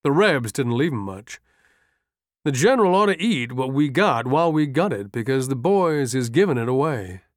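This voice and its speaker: A man's voice: high-pitched, very animated, normal speed, no room sound, clean studio sound, voice pushed up. A man voicing a lean army sergeant in an audiobook, wheedling and deferential in a folksy way. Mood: woeful. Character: coaxing, grinning, lean army sergeant, male aide.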